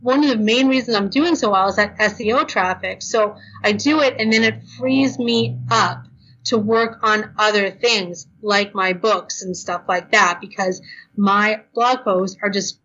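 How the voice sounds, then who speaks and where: A woman guest on an interview, talking casually and quickly with lots of fillers, tired and a bit hoarse. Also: medium pitch, a bit bright, muffled recording. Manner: chatty, fast, lots of ums and you knows. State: tired, losing her voice. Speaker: blogger guest. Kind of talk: podcast interview, casual advice.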